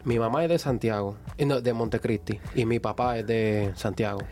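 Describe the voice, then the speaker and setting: Young man, raspy voice. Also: fairly low pitch, normal speed, darkish tone. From Puerto Rico. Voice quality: hoarse, raspy voice. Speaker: guest singer and radio announcer.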